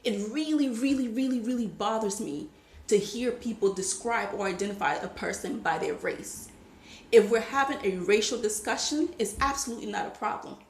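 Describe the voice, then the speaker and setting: A woman from Liberia giving her opinion in a casual monologue to camera, becoming more animated as she stresses her points. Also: on the high side, moderate pace, roomy sound, slight background noise. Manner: opinionated, rising to animated emphasis. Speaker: vlogger.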